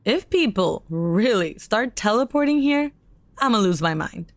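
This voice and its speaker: A woman's voice: medium pitch, lively intonation, measured pace, dry recording. Skeptical young woman in an audio drama, acted by a woman. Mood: skeptical, casual. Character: skeptical, wary young woman tagging along with friend. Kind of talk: full-cast audio drama acting.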